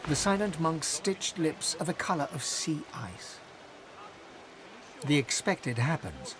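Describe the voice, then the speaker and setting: An actor narrating a fantasy video game in a measured, literary, solemn style. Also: male voice, on the high side, big pitch swings, background noise. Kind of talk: scripted narration.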